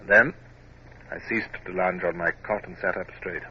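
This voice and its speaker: Naval officer memoirist male actor, low register, formal, literary and reflective, dull noisy old radio-drama sound. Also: fairly even intonation, moderate pace, lightly acted. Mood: brooding, reflective. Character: reflective, scrupulous young male Royal Navy officer narrating memoirs. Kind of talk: dramatic first-person radio drama narration.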